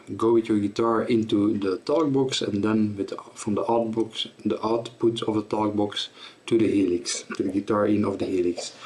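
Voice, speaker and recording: A man giving an informal tutorial explanation as a presenter, in a halting, self-correcting way. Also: fairly low pitch, a little mellow. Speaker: presenter of guitar gear tutorial.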